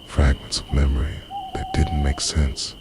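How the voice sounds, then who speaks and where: Man acting as obsessive undying lover, extremely low voice, hugely varied intonation, intimate menace, slow ASMR roleplay. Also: huge pitch swings, heavy background noise, full character voice. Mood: ominous, possessive. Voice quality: very deep, breathy whisper. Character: obsessive immortal body-stealing lover, possessive and menacing.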